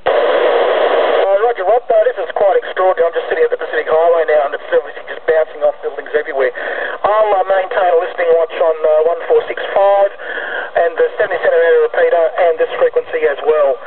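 A man's voice: fairly high pitch, quick pace, crowd noise. A man, an amateur radio operator, chatting casually over a two-way radio from a vehicle. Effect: two-way FM radio transmission. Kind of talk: casual ham radio chat. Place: in a moving vehicle. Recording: very noisy, distant, tinny radio link.

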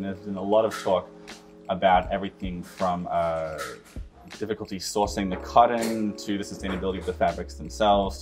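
A man's voice: on the low side, darkish tone. A man interviewing someone conversationally, asking long, articulate questions in carefully built phrases.

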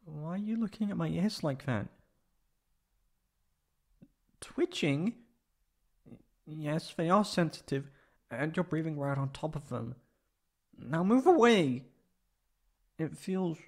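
A man playing a prickly young catboy in an ASMR roleplay, haughty but secretly soft-hearted and stammering when flustered. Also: a little high, expressive, slow pace, gravelly, long pauses, exaggerated voice. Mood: flustered, indignant.

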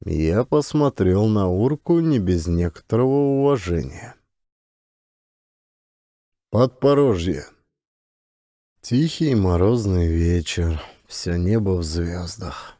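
A man's voice: sits low, animated, slow pace, pauses often, warm tone, no echo, studio quality. A man reading a literary memoir as a scripted audiobook narration.

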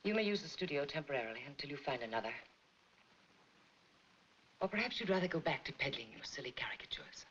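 A low-voiced, haughty betrayed wife. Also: female voice, very animated, quick pace, long pauses, fairly bright tone, a little hiss or hum. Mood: cold contempt. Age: middle-aged. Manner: crisp, formal, haughty diction. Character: wealthy, proud, bitter betrayed wife. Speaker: film actress. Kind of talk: 1940s Hollywood film noir acting. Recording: dull 1940s film soundtrack.

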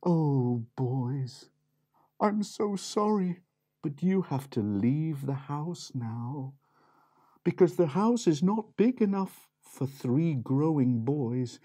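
A man's voice: animated, slow pace, mellow, breathy edge, full character voice. A man voicing a kindly, tearful mother pig who fusses over her sons. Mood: apologetic. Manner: fussing, high and motherly.

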